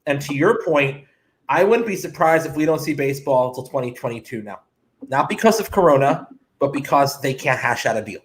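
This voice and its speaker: A man's voice: mid-range voice, animated, a little fast. A man co-hosting a casual sports talk show, giving blunt opinions in short, punchy phrases.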